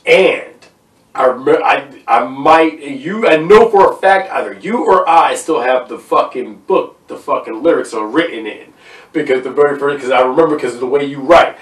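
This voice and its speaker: A man's voice: mid-range voice, expressive, normal speed, far from the mic. A man hosting a podcast, chatting casually and supportively, often saying "you know what I'm saying.". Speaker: podcast host. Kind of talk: casual chat. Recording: roomy, off-mic sound.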